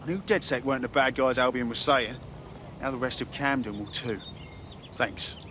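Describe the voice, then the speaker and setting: A man's voice: medium pitch, normal speed, noisy background, natural voice. A man voicing a friendly local ally in a video game, speaking casually. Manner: chatty, friendly slang. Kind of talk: video game cutscene dialogue.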